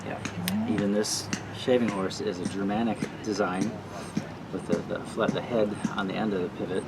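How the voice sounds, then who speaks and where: A man demonstrating traditional woodworking, explaining his tools in a relaxed way outdoors. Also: on the low side, moderate pace. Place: outdoor craft demonstration area. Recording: loud outdoor noise.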